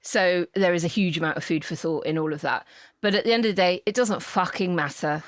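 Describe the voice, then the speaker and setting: A woman's voice: fairly low pitch, brisk, no echo, studio quality. A woman with a British accent discussing politics as a podcast co-host, speaking emphatically and repeating phrases for effect. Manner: emphatic, repeats phrases, acts out imagined exchanges. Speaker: podcast co-host and polling campaigner.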